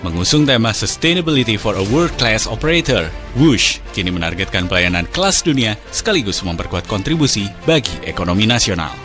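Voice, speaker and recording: A man's voice: on the low side, big pitch swings, fairly quick, music in the background. A man narrating a scripted news feature in a formal reporting style.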